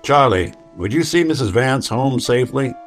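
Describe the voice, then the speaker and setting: An actor playing a weary, wry synth detective with a dry deadpan delivery in an old-time radio noir drama. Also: male voice, medium pitch, moderate pace, soft background music, close to an everyday voice. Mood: businesslike.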